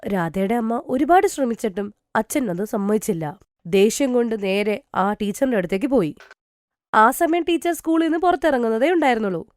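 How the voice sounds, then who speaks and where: A woman's voice: a little high, expressive, moderate pace, darkish tone, no room sound, clean studio sound. A woman narrating a scripted moral story for a cartoon.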